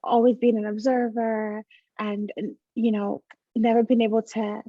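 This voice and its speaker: A woman's voice: a little high, flat delivery, moderate pace, no room sound. A woman on a writers' panel, speaking tentatively with many fillers over a video call from a room at home. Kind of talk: casual panel conversation. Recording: dull, dry video call audio.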